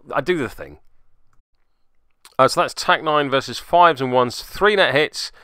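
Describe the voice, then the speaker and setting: A man's voice: medium pitch, pushed and bright, smooth, no room sound, clean studio sound. A man narrating a casual play-by-play recap of a tabletop game as its host, with wry, self-deprecating asides.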